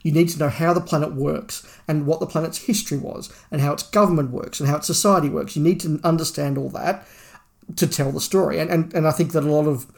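A man's voice: on the high side, steady tone, quick pace, vocal fry. A man co-hosting a podcast, discussing a book casually in an analytical, opinionated way with emphatic repetition. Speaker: podcast co-host.

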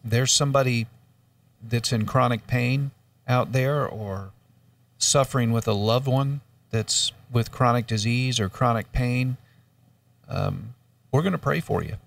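A man co-hosting a talk show who chats in a relaxed, joking way with many fillers. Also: mid-range voice, unhurried, creaky, no echo, distant mic. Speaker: radio show co-host. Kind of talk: casual talk show chat.

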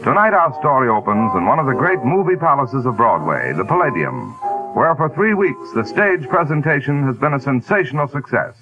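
A man's voice: mid-range voice, big pitch swings, a little fast. A man announcing and narrating a 1940s radio drama in a dramatic, sweeping way. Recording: dull 1940s radio recording. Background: orchestra music.

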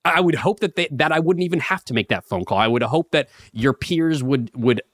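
A man's voice: on the high side, animated, a little fast, dry recording, studio quality. Earnest rambling young man. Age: young adult. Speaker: podcast co-host. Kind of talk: casual podcast banter.

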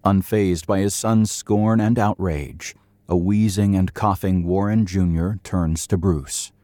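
A man's voice: low voice, fairly even intonation, a little slow, mellow, no echo. A man giving scripted dramatic narration for an audio drama, with polished, suspenseful storytelling.

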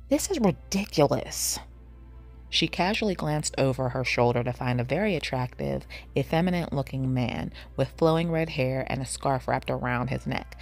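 Very low-voiced woman reading fiction. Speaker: guest story reader. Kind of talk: scripted fiction narration.

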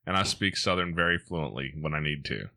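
A man's voice: very deep voice, animated, fairly quick, vocal fry, sharp tone. A man with an American Midwest accent hosting a podcast in a casual, chatty interview style. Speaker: podcast host.

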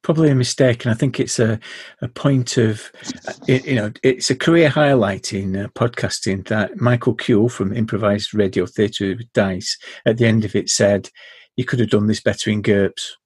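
A man hosting a podcast, telling an anecdote from a game he ran in a relaxed, conversational way. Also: fairly low pitch, moderate pace, slightly rough, no echo. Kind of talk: casual chat about tabletop games.

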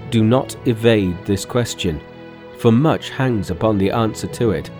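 A man's voice: fairly low pitch, unhurried, a little mellow, soft background music, close to an everyday voice. A man voicing an earnest young prince who entreats his mother formally and urgently in a folk tale. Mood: hot, insistent. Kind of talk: storybook dialogue in a narrated folk tale.

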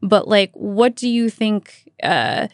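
A woman's voice: mid-range voice, animated, takes it slowly, no room sound, clean studio sound. An American woman hosting a radio interview, asking questions conversationally and often throwing in "like" as she talks.

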